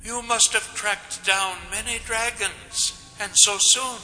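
A cold, imperious high priestess from a fantasy audiobook, performed by a man. The voice is high and sharply bright, polished and commanding, and it hangs in a long hall reverb. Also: fairly even intonation, slow pace, soft background music, exaggerated voice. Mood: cold reproach.

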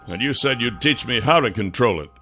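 A man's voice: medium pitch, expressive, brisk, sharp tone, faint music behind, voice pushed up. A male narrator voicing a young dragon prince in human form, blunt and teasing. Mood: wary. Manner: plain, dry, teasing.

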